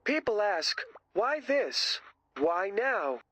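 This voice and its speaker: A man's voice: high-pitched, very animated, drawn-out words, sharp tone, full character voice. A man playing a cocky, self-promoting rock drummer in a video game, presenting like a grandiose TV host with dramatic emphasis. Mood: dramatic, intriguing. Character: cocky, self-promoting male rock drummer hosting his own show.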